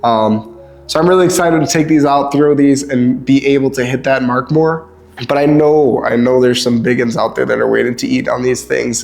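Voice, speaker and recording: A young man with a stuffed-up nose, casually reviewing fishing lures in a relaxed, chatty way with lots of ums. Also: mid-range voice. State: stuffed up, sniffly. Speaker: lure reviewer.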